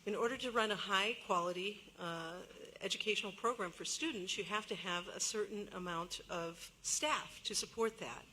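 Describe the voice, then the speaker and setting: A woman speaking at a public meeting hall, explaining figures in a measured, careful way and often pausing on fillers. Her tone is bright and forward. A light hum of room noise runs behind her. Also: mid-range voice. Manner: measured, with many fillers and restarts. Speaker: school administrator answering questions.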